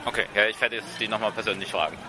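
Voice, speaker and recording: A man's voice: lowish voice, very fast, background noise. A man doing a casual street interview as a podcast host, recorded outdoors on a handheld microphone. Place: outdoors in a busy city street. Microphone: handheld field microphone.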